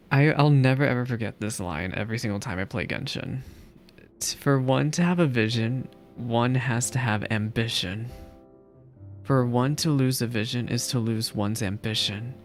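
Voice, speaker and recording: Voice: mid-range voice, unhurried, pauses often. A game streamer reacting casually during a playthrough, musing aloud and repeating phrases for emphasis. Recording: faint game music behind.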